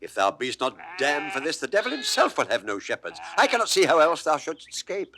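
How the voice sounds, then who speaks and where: An actor playing a witty, mocking court jester in a classical Shakespearean audio drama, theatrical and mock-pompous in delivery. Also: male voice, on the high side, huge pitch swings, brisk, background noise. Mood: teasing. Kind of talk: classical Shakespearean stage acting.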